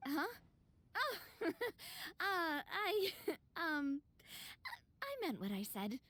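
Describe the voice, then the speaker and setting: A woman playing a spirited young woman in a video game, breezy and expressive and easily flustered. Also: very high voice, very slow, dry recording, exaggerated voice. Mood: flustered, playful. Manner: breezy, expressive, hesitant when embarrassed.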